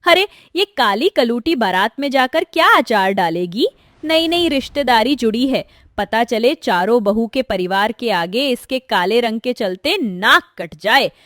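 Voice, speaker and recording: High-voiced, scornful nagging mother-in-law played by a woman. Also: expressive, a little slow, no echo, clean studio sound. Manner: sharp-tongued, taunting. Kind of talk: melodramatic Hindi moral story acting.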